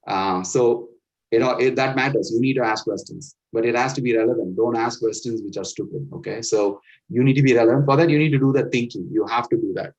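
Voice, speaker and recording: A man's voice: mid-range voice, darkish tone. A middle-aged man from India with an Indian accent, giving earnest career advice and often checking in with "right?". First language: Indian language. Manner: earnest, instructive, peppers points with "right?". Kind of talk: motivational talk. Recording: dull lo-fi sound.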